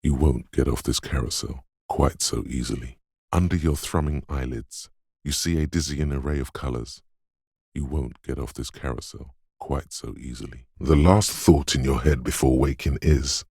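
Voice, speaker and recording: Super deep raspy inner voice in a video game, dreamy and ominous, slow hushed theatrical delivery. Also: male voice, steady tone, no echo, studio quality, exaggerated voice. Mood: dreamy, hypnotic. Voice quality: very deep, husky, gravelly whisper-edged rumble. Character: mysterious inner voice of a detective's intuition, brooding, dreamlike. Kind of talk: dramatic video game voice acting. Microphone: close, dry studio microphone.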